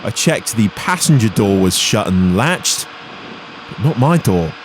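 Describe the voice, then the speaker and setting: A man's voice: on the low side, very animated, unhurried, noisy background. A man giving a candid, instructive voice-over, explaining to viewers as a pilot. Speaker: pilot and vlogger.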